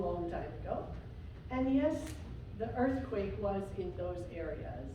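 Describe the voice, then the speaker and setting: Slow-speaking woman preacher from Syria. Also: medium pitch, highs cut off, background noise. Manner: slow, personal storytelling with frequent pauses and fillers. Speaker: guest preacher. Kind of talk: sermon. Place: church sanctuary. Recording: echoey church from far off.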